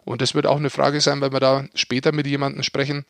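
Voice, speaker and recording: A man's voice: medium pitch, completely flat delivery, fast talker, vocal fry, fairly bright tone, no room sound. A man co-hosting a sports podcast, chatting casually in a teasing, tongue-in-cheek way. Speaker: podcast co-host, ice hockey pundit.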